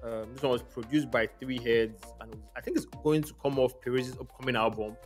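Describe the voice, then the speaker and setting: A man's voice: medium pitch, steady tone, brisk. A man hosting a casual music review chat, speaking in an informal, slangy, opinionated way. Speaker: music podcast host.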